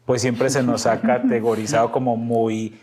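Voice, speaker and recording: A man's voice: mid-range voice, little pitch movement, moderate pace, darkish tone. A middle-aged Colombian man with a paisa accent, telling personal stories casually as a podcast guest and acting out bits of dialogue. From Medellín, Antioquia, Colombia.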